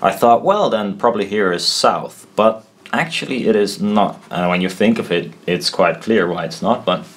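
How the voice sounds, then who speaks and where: A man giving an informal tutorial at a desk, thinking aloud in a halting, self-deprecating way. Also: lowish voice, expressive. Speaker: presenter explaining loudspeaker technology. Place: indoors at a desk.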